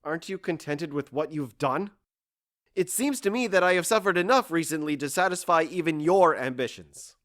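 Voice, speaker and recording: A man's voice: a little high, expressive, normal speed, fairly bright tone. Guy playing a worn-out bitter husband in a radio play, stoic, grave and kinda formal. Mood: wounded. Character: stoic, embittered husband, weary and resolute. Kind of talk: dramatic audio drama acting.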